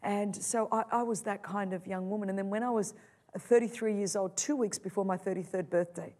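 A middle-aged woman preaching and telling her own story, passionate, moving between loud, soaring lines and quiet, hushed ones. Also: mid-range voice, fairly even intonation, a little fast. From Australia. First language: Greek. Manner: impassioned, swings from loud to soft. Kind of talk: sermon testimony.

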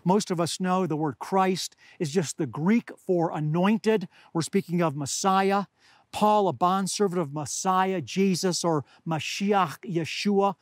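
Slightly hoarse older man preaching. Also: high-pitched, measured pace, no echo, clean studio sound. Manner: earnest, teaching, addresses listeners as beloved. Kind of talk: expository sermon. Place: outdoors at high altitude among pine trees.

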